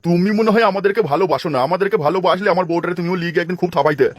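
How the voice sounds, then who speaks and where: A man's voice: sits high, racing, over music, natural voice. A man voicing an eager village husband in a comedy cartoon, pleading and insistent.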